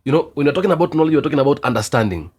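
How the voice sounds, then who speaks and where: A man talking earnestly in a casual debate, halting and restarting as he thinks. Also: fairly high pitch, animated, darkish tone, husky. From Kenya.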